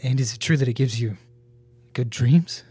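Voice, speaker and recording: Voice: medium pitch, moderate pace, dark tone, breathy edge, no room sound. An actor playing an anxious, sleepless customer who stammers and hesitates. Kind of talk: audio drama acting.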